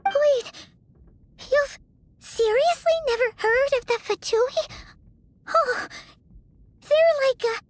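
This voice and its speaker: A woman voicing a small, childlike, earnest fairy companion with a squeaky voice in a video game cutscene. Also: no room sound, full character voice. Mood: surprised, explaining. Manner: refers to self in third person, earnest. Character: small childlike fairy companion, chirpy, loyal, talks in third person. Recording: music behind.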